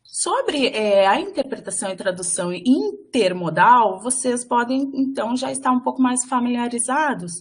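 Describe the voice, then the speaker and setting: Woman interpreter, fairly high voice, halting phrasing, lots of fillers, live lecture interpreting. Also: animated, slightly rough. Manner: halting, with frequent fillers and restarts while interpreting. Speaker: sign language voice interpreter for a workshop.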